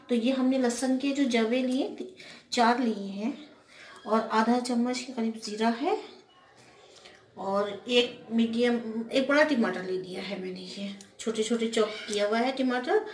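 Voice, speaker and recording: A woman hosting a home cooking video, talking through each step in a casual, chatty way with small hesitations as she cooks at her stove. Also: fairly high pitch. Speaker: home cooking channel host. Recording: roomy kitchen with loud cooking noise. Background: frying, sizzling and stirring in a pressure cooker.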